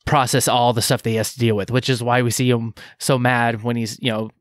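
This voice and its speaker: A man co-hosting a casual podcast, talking in halting stops and starts with lots of fillers. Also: medium pitch, level tone, no room sound. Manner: halting, many fillers and restarts. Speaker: podcast co-host.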